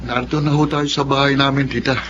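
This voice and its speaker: A man's voice: medium pitch, little pitch movement, fast talker, lightly acted. A Filipino man acting in a radio drama as a headstrong, protective and suspicious young adult son. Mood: polite. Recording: dull lo-fi audio under clear music.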